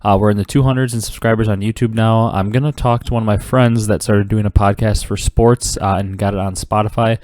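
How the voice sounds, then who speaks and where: A man's voice: on the low side, fairly quick, few pauses. A young man in his twenties with a cold and a congested voice, hosting a casual solo esports podcast full of fillers and rambling asides. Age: 20s. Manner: rambling, filler-heavy, excited esports talk. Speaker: podcast host.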